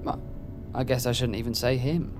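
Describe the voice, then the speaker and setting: An actor plays a man who is a loyal, self-deprecating friend in an audio drama. His talk is conversational and halting, with a wry, protective streak. Music sits underneath the voice. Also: mid-range voice, steady tone, natural voice. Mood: curious, casual. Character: apologetic, joking, protective male friend of a troubled woman. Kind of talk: naturalistic audio drama dialogue.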